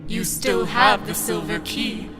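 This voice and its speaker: A solemn, otherworldly cosmic guide, played by a performer in a radio play, with prominent music behind. The delivery is measured and cryptic, as if revealing ultimate secrets. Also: medium pitch, close to an everyday voice. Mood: guiding, solemn.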